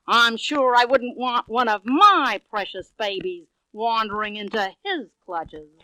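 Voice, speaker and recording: A woman's voice: a little high, unhurried, pushed and bright, rough recording. A woman playing a gracious, fussy mother mountain lion in a children's read-along record, drawing out her genteel, motherly chatter. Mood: fussy, concerned.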